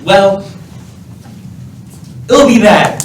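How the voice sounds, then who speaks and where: A man playing a fussy, halting cook in a comedic radio play, in a roomy, noisy recording. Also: sits high, lively intonation, exaggerated voice. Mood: flustered. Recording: echoey, noisy, far from mic.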